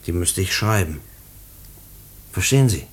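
An actor playing a desperate, stubborn condemned prisoner in a German radio drama, halting and earnest as he pleads. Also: sits low, animated, husky, heavily acted. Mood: quietly insistent. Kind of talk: German post-war radio drama acting.

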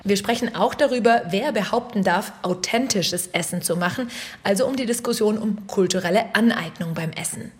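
A woman's voice: medium pitch, fairly quick. Curious woman hosting an interview. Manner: curious, asks follow-ups, frequent mhm. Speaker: interview host.

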